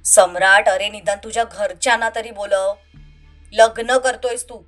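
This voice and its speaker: A woman acts out a baffled young man in an audio love story. He grumbles at his friend in a familiar, exasperated way, the voice brought down in pitch. Light music runs beneath. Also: steady tone, normal speed, lightly acted.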